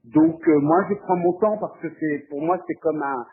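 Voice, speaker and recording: A man's voice: a little high, normal speed, husky. A man from France, giving spontaneous interview answers as a filmmaker, hesitant and often repeating words as he searches for phrasing. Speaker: documentary filmmaker being interviewed. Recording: muffled, phone-like narrow band.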